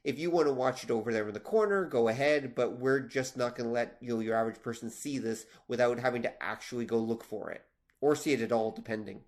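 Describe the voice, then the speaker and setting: A man's voice: medium pitch, fast talker. A man co-hosting a casual discussion, explaining his points in a conversational way with everyday hypothetical examples. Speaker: podcast co-host.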